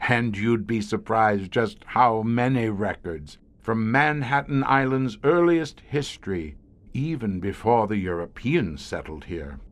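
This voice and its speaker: An actor playing a haunted, learned middle-aged scientist who guards monster-ridden tunnels, speaking in a grave, florid, theatrical way for an audio horror drama. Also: male voice, lowish voice, gravelly, full character voice. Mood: ominous, erudite. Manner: grave, florid, dramatic storyteller with weighty pauses.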